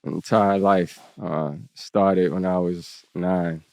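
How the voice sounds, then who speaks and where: A man's voice: low-pitched, steady tone, takes it slowly, a little mellow, no room sound. A man in his thirties with a Southern American accent, chatting as a podcast guest in a laid-back way, often tagging his points with "you know what I'm saying". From United States. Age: 30s. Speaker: podcast guest, event organizer. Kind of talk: casual interview talk.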